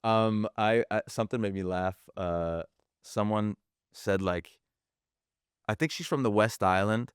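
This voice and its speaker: A man's voice: on the low side, unhurried, smooth, dry recording, studio quality. A man from Quebec co-hosting a podcast, chatting casually and animatedly, switching between English and French. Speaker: podcast co-host. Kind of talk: casual bilingual chat.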